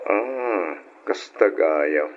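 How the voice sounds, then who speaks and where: An actor playing a genial young male boss in a radio drama, with a jovial, expressive delivery. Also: deep voice, very slow, heavily acted. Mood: curious. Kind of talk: Ilocano radio drama acting. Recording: dull, noisy old radio drama recording.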